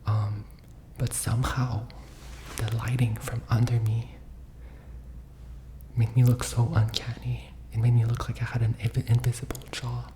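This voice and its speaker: A man's voice: medium pitch, monotone, normal speed, background noise. A young man in his twenties, whispering an ASMR-style vlog from the floor, dramatic and self-mocking. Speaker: vlogger. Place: sitting on a floor indoors.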